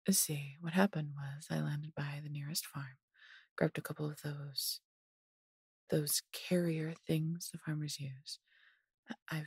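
A woman voicing a large, possessive but doting female dragon in an intimate fantasy audio roleplay, coaxing and teasing in a breathy, husky voice. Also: sits low, a little mellow, dry recording, clean studio sound, exaggerated voice.

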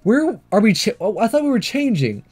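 A man's voice: high voice, very animated, fairly quick, a little mellow, husky, faint music behind. A man doing let's play commentary while reading a visual novel aloud. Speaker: gaming video creator.

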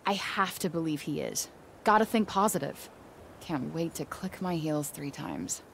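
Young American game heroine, lowish pitch, sarcastic, tough, slangy, naturalistic delivery, background noise. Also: female voice, a little slow, vocal fry, fairly bright tone, full character voice. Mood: wry, upbeat. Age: young adult. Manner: slangy, blunt, wry. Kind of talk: naturalistic video game voice acting.

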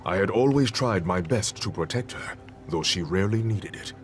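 An actor playing a noble superhero king who narrates his quest in a grave, formal, measured way for a video game. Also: deep voice, lively intonation. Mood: solemn, proud. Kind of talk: scripted dramatic game narration. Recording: music behind the voice.

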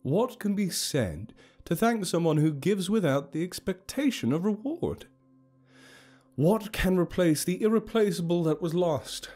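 Storybook elder, played by a male narrator: grand and cheerful, raised pitch, slow ceremonial oratory, music underneath. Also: lively intonation, darkish tone. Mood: solemn, grateful. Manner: slow, oratorical, emphatic delivery. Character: grand, cheerful old leader addressing his gathered tribe.

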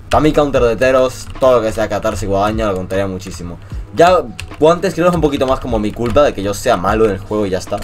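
Young venezuelan guy, mixed accent, low voice, jokey ranty gameplay commentary, swears a lot. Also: slight background noise. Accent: mixed Latin American and Spanish Spanish. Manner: animated ranting, swears, jokey. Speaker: gaming YouTuber.

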